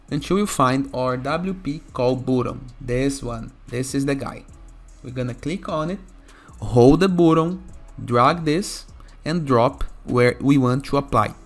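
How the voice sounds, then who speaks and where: Lower-voiced male tutorial host over music. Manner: instructional, often checking with "okay?". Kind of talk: software tutorial narration.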